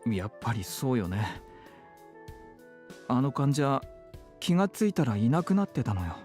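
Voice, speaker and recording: An actor playing a worried, gossipy clinic colleague with soft feminine phrasing in a Japanese visual novel. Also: male voice, mid-range voice, big pitch swings, quick pace, faint music behind, close to an everyday voice. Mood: worried, uneasy.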